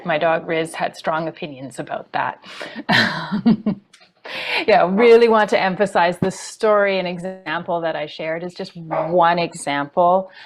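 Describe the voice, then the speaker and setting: A woman's voice: low voice, background noise. A woman hosting a webinar, encouraging and reading out comments from the chat. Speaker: webinar host. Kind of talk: webinar moderation.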